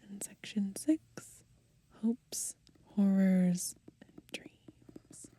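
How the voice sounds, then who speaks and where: A woman whispering softly and slowly in a gentle ASMR style, pausing between short phrases as she reads and browses through a book. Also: medium pitch, steady tone. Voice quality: breathy, mostly unvoiced whisper. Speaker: ASMR host. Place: outdoors, lying in grass in the countryside.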